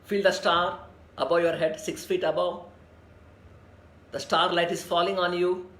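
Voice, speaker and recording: A man from India guides listeners through a meditation in a slightly raised, gentle voice. He speaks slowly, in soothing repetitions and chanted mantras. The room around him rings with echo. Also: high-pitched, level tone. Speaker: meditation leader. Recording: echoey room.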